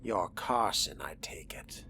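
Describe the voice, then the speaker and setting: A man voicing a refined, sardonic fledgling vampire in a video game roleplay, with a deliberate, archly formal manner. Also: low voice, expressive, creaky, pushed and bright, whispered, exaggerated voice. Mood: composed, dry. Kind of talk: in-character roleplay narration over a game.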